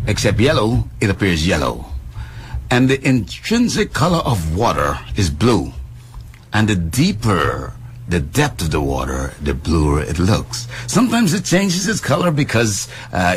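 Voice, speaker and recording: A man's voice: on the low side, slight background noise. A man hosting a radio health talk show, chatting playfully and explaining things like a teacher. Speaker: radio show host and doctor.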